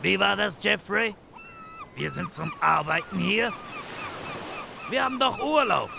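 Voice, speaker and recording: A man's voice: high voice, very animated, unhurried, gravelly, noisy background, full character voice. An actor playing a pompous, irritable narrator who bosses his assistant around, theatrical and exasperated, in a comic audio drama. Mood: indignant, threatening. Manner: theatrical, exasperated, swinging from muttering to shouting.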